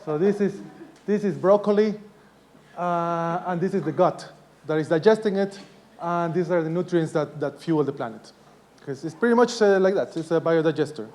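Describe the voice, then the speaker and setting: A man in his forties from Ecuador lectures to students, holding his voice down because his throat is sore. His voice sits a little high for a man. He talks in a halting way, full of fillers and restarts. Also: a little mellow. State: sore throat, speaking softly. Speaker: professor giving a lecture. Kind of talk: university lecture.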